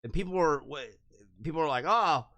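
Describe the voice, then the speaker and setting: A man co-hosting a podcast in a casual chat, skeptical and quick with a joke. His pitch swings widely as he talks. The sound is close and dry. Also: animated, sharp tone, no room sound. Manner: skeptical, joking, keeps prompting. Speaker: podcast co-host.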